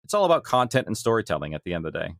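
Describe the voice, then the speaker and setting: A man's voice: lowish voice, big pitch swings, racing, a bit bright, a little hiss or hum. A man speaking casually and candidly as an interview guest, an audio drama creator reflecting on his work.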